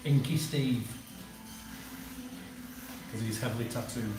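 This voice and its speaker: A man telling casual anecdotes in relaxed conversation. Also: medium pitch, slow pace, music in the background. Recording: echoey room, clear background noise.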